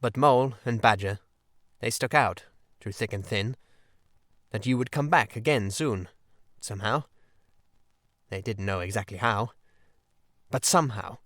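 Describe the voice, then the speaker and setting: A man voicing an earnest, excitable water rat in a storybook, speaking in an emphatic and deliberate manner. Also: lowish voice, no echo, clean studio sound. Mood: grave, confiding. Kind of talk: audiobook character acting.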